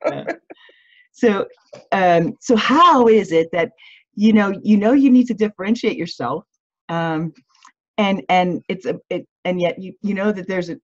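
A woman's voice: mid-range voice, no echo. A woman hosting an interview over a video call. She affirms often and asks long questions with many restarts. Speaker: interview host. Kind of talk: casual interview chat. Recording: dry, muffled video-call audio.

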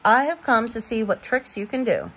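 A woman voicing a clever male cat from a storybook, courtly, persuasive and full of flattery. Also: fairly high pitch, moderate pace, husky, exaggerated voice. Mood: flattering, sly.

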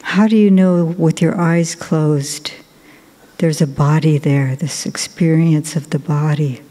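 A woman, a Buddhist nun, guiding a meditation in a slow, gentle, soft-spoken way with long pauses. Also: low-pitched, steady tone. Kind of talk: guided meditation. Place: large cathedral. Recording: long cathedral reverb.

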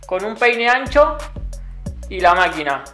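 A young man, a barber calmly explaining a haircut step by step in a small barbershop. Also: high voice, a little slow. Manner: calm, instructive, repeats steps patiently. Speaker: barber instructor. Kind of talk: step-by-step tutorial narration. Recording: roomy sound with music behind. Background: background music and clipper buzz.

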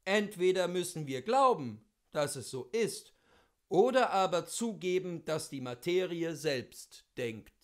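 A man reading classic literature aloud in an expressive, theatrical and declamatory way. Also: high-pitched, a little slow. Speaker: reader of literature.

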